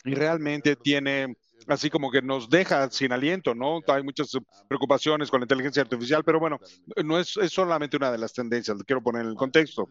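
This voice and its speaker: A man with an English accent and English as his first language, giving a conference presentation in a halting way, with many fillers and restarts. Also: mid-range voice, highs cut off. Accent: English speaker's Spanish. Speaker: company representative presenting to educators.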